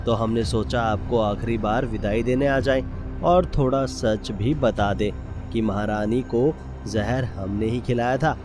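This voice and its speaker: A man plays a vengeful banished prince from a cartoon moral tale. He taunts with menace in a mid-pitched voice. A clear music bed sits underneath. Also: natural voice. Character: villainous exiled prince, king's vengeful half-brother. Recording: loud music bed behind voice.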